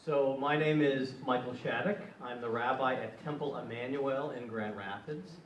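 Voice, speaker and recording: A man's voice: medium pitch, normal speed. A middle-aged man from New Hampshire, a rabbi, introducing himself on a panel in a chatty way with joking asides. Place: event hall. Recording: echoey room, far from mic, noisy.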